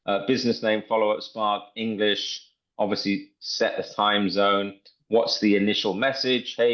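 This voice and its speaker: A man presenting a software demo in an informal, step-by-step tutorial style. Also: fairly low pitch, dull audio. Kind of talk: casual screen-share tutorial walkthrough.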